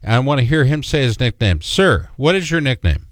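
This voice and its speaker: A man's voice: medium pitch, very animated, normal speed, no room sound. Dry-sounding jokey male host. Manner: jokey, loose, with fillers. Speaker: podcast host. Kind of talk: casual interview.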